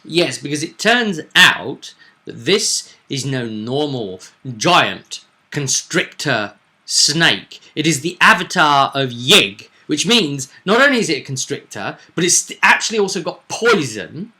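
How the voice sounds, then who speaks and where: Bright-voiced man recapping a tabletop game. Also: fairly high pitch, very animated, measured pace. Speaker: game master and co-host recapping a session.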